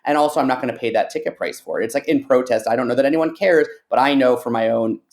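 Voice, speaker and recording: A man's voice: medium pitch, fast, darkish tone. A man hosting a podcast, chatting casually and giving his opinions in a loose, talkative way. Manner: chatty, opinionated, uses "like" often. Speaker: podcast host. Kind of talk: casual interview chat.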